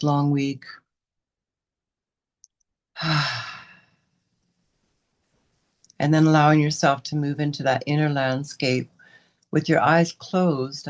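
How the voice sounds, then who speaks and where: Woman gently leading a guided meditation. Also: low voice. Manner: unhurried, pausing between short instructive phrases. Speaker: meditation and yoga teacher leading a guided session.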